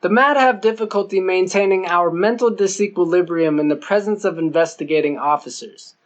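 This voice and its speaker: A man's voice: sits high, fairly quick, highs cut off. Male audiobook narrator reading a novel.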